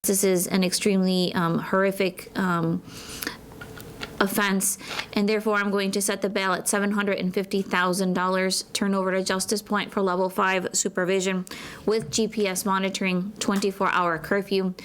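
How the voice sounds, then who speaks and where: An American woman speaking formally and haltingly as a court commissioner giving a ruling. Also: mid-range voice, little pitch movement. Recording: courtroom audio with faint noise.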